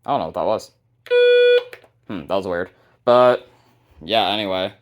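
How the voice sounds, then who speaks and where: Low-voiced man, casual and halting. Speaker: hobbyist video host showing a radio collection. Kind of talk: casual unscripted product showcase.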